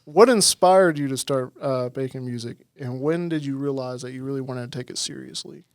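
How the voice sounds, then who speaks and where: A man's voice: mid-range voice, brisk, smooth, no room sound. A young man hosting a podcast in an easygoing way, laughing often as he chats with his guest. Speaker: podcast host. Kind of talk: casual interview chat. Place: podcast studio.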